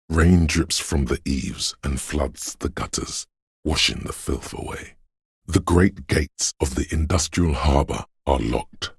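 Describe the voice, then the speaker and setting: A man voicing a brooding inner voice that senses a rainy city, narrating a video game in a slow, solemn, poetic and deliberate way with a gravelly, husky tone. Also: a bass voice, dry recording, clean studio sound, full character voice. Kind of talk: scripted atmospheric second-person narration.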